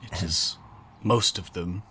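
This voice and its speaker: A man's voice: low voice, sharp tone, a little hiss or hum, pitched below the performer's own voice, exaggerated voice. Man playing a terse, reserved wanderer. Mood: guarded, plain. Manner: terse, plain, halting speech. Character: reserved, straightforward wandering man, a fantasy adventurer.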